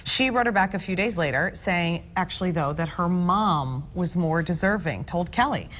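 Woman co-host, 30s, slightly low voice, casual studio intro. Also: expressive, moderate pace, a bit bright, slight background noise.

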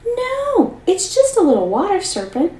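A woman voicing a kind, brave youngest daughter in a read-aloud storybook, speaking softly and gently. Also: on the low side, lively intonation, brisk, vocal fry. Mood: urgent. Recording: roomy, mic at a distance.

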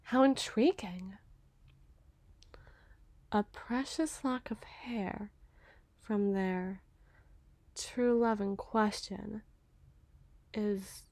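A woman's voice: mid-range voice, darkish tone, dry recording. A woman playing a scheming, obsessive, smitten witch in an ASMR roleplay, speaking theatrically in old-fashioned phrases. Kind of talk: ASMR roleplay audio drama.

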